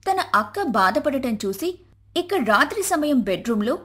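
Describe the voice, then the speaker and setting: A woman with a high-pitched voice tells a scripted moral story for animation. She delivers it as a storyteller narrating family drama. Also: very animated, unhurried, sharp tone, husky.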